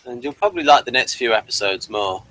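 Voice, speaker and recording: A man with a bright, forward voice and a middling pitch hosts a discussion. He talks in a casual, conversational way, as on a podcast. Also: animated, quick pace, a little hiss or hum, rough recording. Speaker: podcast host. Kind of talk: casual discussion of TV and personality types.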